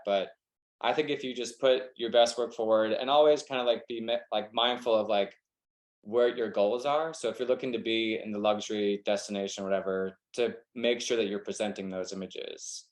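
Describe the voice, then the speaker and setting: A young man with a Southern American accent, a wedding photographer chatting casually in a webinar, rambling and filling his talk with "like". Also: on the low side. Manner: relaxed, rambling, heavy use of "like". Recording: echoey room.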